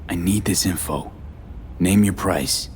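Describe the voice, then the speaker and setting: A man's voice: sits low, takes it slowly, slight background noise. A man playing a jaded, sardonic mercenary in a video game, blunt and guarded, in clipped, terse phrases with dry sarcasm. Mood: blunt, impatient.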